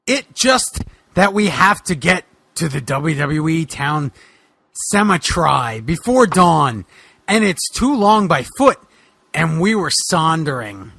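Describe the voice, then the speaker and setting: Haunted bogeyman wrestler, voiced by a man, bright and animated, urgent, hammy delivery for a comic reading. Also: a little high, takes it slowly, creaky, no echo, exaggerated voice. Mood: urgent, troubled.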